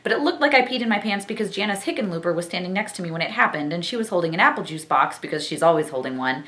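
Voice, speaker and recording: A woman's voice: lowish voice, animated, very fast, a bit bright, roomy sound. A woman hosting a vlog of book reviews, talking and reading aloud in an exuberant, theatrical way. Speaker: book-review vlogger and host.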